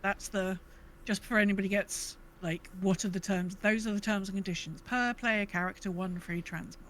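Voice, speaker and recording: A woman's voice: mid-range voice, fairly even intonation, brisk, a bit bright. Woman chatting over noticeable background noise. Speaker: podcast co-host. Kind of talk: casual gaming podcast chat.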